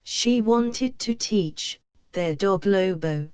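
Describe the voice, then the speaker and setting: A woman narrating a children's storybook in a slow, clear read-aloud manner. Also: mid-range voice, over loud music.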